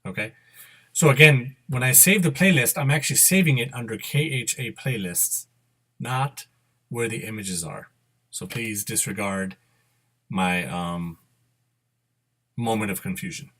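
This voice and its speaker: A middle-aged man narrating a step-by-step screen demo, unscripted and halting, correcting himself as he instructs. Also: lowish voice, fairly bright tone. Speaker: software tutorial presenter. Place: indoor room at a computer.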